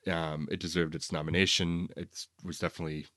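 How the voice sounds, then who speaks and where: A man with a low voice in a casual review conversation, recorded close and dry. He talks as a podcast co-host, informal and analytical, working through his points as he goes. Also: little pitch movement, brisk, a bit bright, no echo. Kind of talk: casual film review chat.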